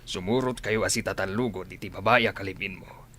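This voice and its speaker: A man's voice: deep voice, a little fast, pushed and bright. A man playing a stern, threatening police officer in a radio drama, with a commanding manner.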